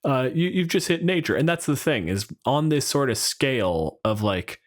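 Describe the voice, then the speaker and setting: A man's voice: medium pitch, animated, moderate pace. A man co-hosting a podcast, chatting casually and wryly with plenty of swearing. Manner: casual, profane, wry. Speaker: podcast co-host. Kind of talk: casual chat about tabletop game monsters.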